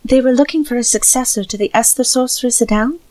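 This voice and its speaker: A woman's voice: a little high, quick pace, mellow, no echo, close to an everyday voice. A woman playing a polite, composed game character in a fan dub, formal and inquiring. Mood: curious.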